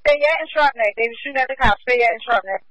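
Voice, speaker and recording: A woman police dispatcher on the radio, briskly repeating locations and warnings to units. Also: on the high side, a bit bright, dry recording, low-quality recording.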